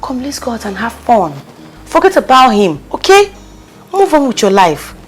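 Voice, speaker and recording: A woman's voice: on the high side, big pitch swings, normal speed, breathy edge, faint music behind. A woman with a Nigerian accent, acting a loyal best friend who insistently talks her heartbroken friend up. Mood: comforting. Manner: emphatic, insistent, coaxing pep talk. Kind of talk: skit acting.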